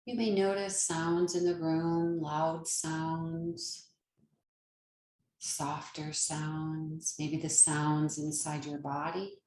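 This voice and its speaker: A woman leading a guided meditation as a yoga nidra teacher, speaking gently and soothingly with long pauses. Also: deep voice, little pitch movement, echoey room, slight background noise.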